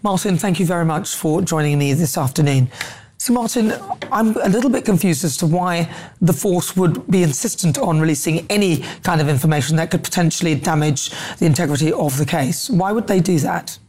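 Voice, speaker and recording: A woman presenting and hosting live TV news in a brisk, lilting way, giving her own opinions as she interviews a guest. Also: fairly low pitch, a little mellow, slight background noise.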